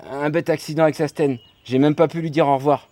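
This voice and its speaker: A man's voice: a little high, steady tone, fast, warm tone, natural voice. A man acting an earnest, lovestruck young Resistance fighter in an audio drama, eager and emotional in his delivery. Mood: confiding.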